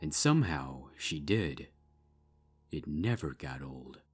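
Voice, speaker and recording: A man reading dark fiction as a scripted narrator, measured and with dramatic pauses. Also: a bass voice, expressive, slightly rough. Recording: music behind the voice.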